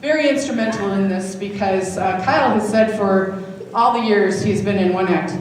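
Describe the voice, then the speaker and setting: A woman's voice: medium pitch, normal speed, distant mic. Woman, drama director, informal thank-you speech, long hall reverb, faint background noise. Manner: informal, affectionate. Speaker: high school drama director. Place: large indoor hall at a live event. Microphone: distant event microphone.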